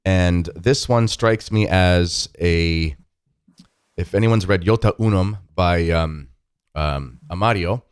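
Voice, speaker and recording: A man's voice: low voice, takes it slowly, clean tone, dry recording, studio quality. A man hosting an interview podcast, talking in a friendly, rambling way with many fillers. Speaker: podcast host.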